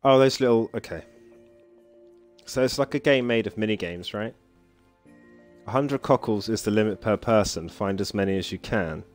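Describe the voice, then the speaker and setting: Low-voiced man streaming a relaxed game. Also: normal speed, pauses often. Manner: relaxed, musing aloud, chuckles often. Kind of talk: casual gameplay commentary, reading game text aloud. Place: home, at the computer. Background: faint game music.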